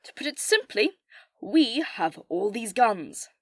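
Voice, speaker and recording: A woman's voice: a little high, very animated, slow pace, a bit bright, no echo, studio quality, heavily acted. A woman playing a commanding, wry military squad leader in an audio drama, speaking with firm authority. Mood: brisk, wry. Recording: noisy battle sound effects behind.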